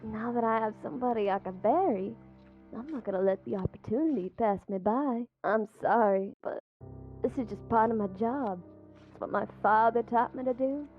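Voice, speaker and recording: A woman playing a lonely young gravedigger in a visual novel, resigned and quietly deranged, speaking haltingly and matter-of-factly about morbid things. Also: on the high side, natural voice. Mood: resigned, sinister. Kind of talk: visual novel character voice acting. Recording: thin phone-like audio with music behind. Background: music.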